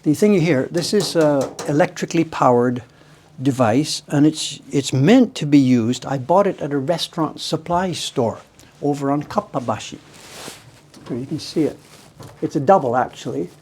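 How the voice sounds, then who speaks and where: A man's voice: a little high, moderate pace, darkish tone, a little hiss or hum. Chatty man in his seventies. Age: 70s. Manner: rambling, chatty, breaks into amused laughter. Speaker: live-stream host. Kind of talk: casual chat while demonstrating workshop tools.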